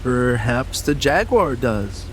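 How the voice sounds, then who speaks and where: An actor playing a reflective big game hunter in a dramatized audiobook, speaking in a measured, philosophical manner. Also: male voice, mid-range voice, very animated, a little hiss or hum. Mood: pensive.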